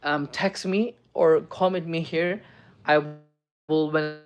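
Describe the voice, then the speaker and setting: A man's voice: a little high, steady tone, slow. A young man on a live stream, answering chat questions with earnest, reassuring advice and lots of ums and "right?".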